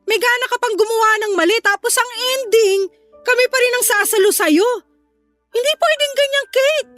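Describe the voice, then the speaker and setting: A woman plays a scolding elder sister in a Filipino audio drama. The voice is very high with a Filipino accent, sarcastic and accusing, over faint music. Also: normal speed, a bit bright.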